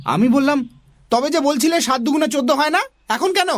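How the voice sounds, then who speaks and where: Male story narrator, strikingly high voice, lively intonation, theatrical, music underneath. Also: a little fast. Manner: expressive, theatrical. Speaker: narrator, also voicing a young boy. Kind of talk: animated children's story narration.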